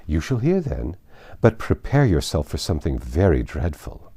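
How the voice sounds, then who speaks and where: A man voicing a witty, playful young gentlewoman in a storybook reading, with an arch, articulate manner. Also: rumbling low, very animated, moderate pace, husky, heavily acted. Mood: teasing. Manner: arch, teasing, formally articulate.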